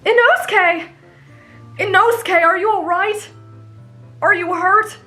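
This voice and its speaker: Woman voicing a high-pitched, gentle anime boy. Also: slow, faint music behind, heavily acted. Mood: concerned. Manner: gentle, polite, patiently explanatory. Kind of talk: anime fan roleplay audio drama.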